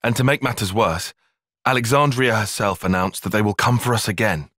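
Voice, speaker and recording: A man's voice: on the low side, fairly quick, fairly bright tone, dry recording, studio quality. A man with a British accent playing an earnest, analytical young soldier in a video game, speaking in formal, precise phrases. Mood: explanatory. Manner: formal, precise, bookish wording. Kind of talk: video game cutscene acting.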